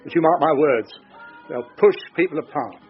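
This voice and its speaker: A man's voice: a little high, moderate pace, phone-quality sound, lightly acted. An actor playing a gloomy, grumbling elderly father in a radio drama. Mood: grumbling, insistent. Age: older.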